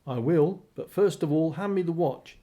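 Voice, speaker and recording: An actor in a radio play voicing an evasive but caring husband with a mild, cautious manner. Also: male voice, on the high side, moderate pace, background noise, lightly acted. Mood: earnest, secretive.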